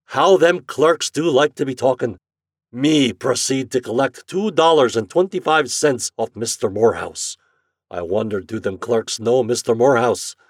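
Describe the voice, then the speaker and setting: A man's voice: medium pitch, normal speed, no echo, studio quality, voice pushed up, lightly acted. A man voicing a blustery, stubborn Irish express agent in a storybook reading, with emphatic folksy talk. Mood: sly, gleeful. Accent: stage Irish.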